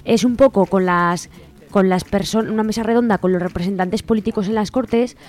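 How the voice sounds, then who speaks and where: A woman's voice: mid-range voice, normal speed, a little mellow. A woman with an accent from Spain, hosting a radio show and informally reading out event announcements. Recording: music playing behind the voice. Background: music.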